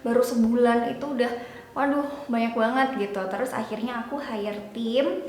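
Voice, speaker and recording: A woman's voice: a little high, normal speed, a little mellow. A woman in her twenties from Java, Indonesia, chatting casually and informally as a YouTube vlogger, explaining as she goes. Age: 20s. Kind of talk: casual chatty vlog talk. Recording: echoey room with background music. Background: music.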